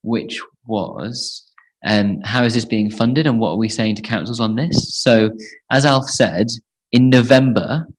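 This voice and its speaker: A man's voice: mid-range voice, a little slow, low-quality recording. A man leading a conference call as a campaign organiser, giving an informal, practical explanation to volunteers.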